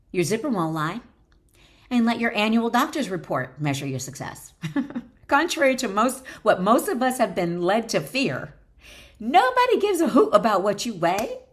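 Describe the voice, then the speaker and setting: A woman reading her own wellness book aloud in an emphatic, instructional way, often breaking off into chatty asides. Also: medium pitch. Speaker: author and wellness educator.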